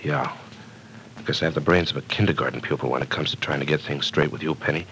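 A brooding deep-voiced young husband. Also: male voice, steady tone, fast talker, sharp tone, a little hiss or hum, full character voice, rough recording. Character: brooding, unhappily married young man. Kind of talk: 1940s radio acting.

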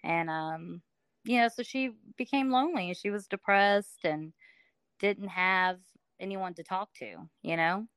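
A woman's voice: mid-range voice, a little slow, a bit bright, smooth, no echo. A middle-aged woman from Alabama, telling her story as a guest in a casual interview, chatty with many fillers.

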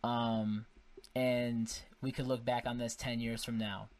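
A man's voice: medium pitch, level tone, takes it slowly. Informal, wordy male podcast host. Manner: informal, wordy, circles back to rephrase questions. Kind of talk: casual interview.

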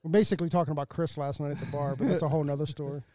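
A man's voice: on the high side, very fast, dark tone. A man hosting a casual live podcast in a chummy, joking way. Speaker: podcast host.

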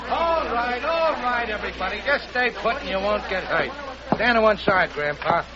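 An actor playing a brash gangster boss who talks in gruff tough-guy slang, in 1940s radio comedy style. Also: high voice, animated, moderate pace, a loud crowd. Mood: menacing. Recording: muffled noisy old radio recording.

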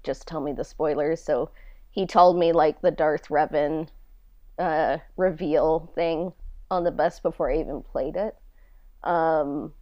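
A woman's voice: low-pitched, no echo, muffled recording. A woman podcaster answering interview questions in casual, conversational storytelling. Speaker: podcast co-host being interviewed. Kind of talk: casual chat, telling personal stories.

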